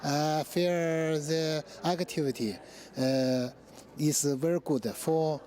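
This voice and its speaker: Older guy, english learner, slow and halting, lots of uhs. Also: fairly high pitch, steady tone, darkish tone, a little hiss or hum. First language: not English. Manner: halting, full of pauses and fillers. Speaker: student in an English class for seniors.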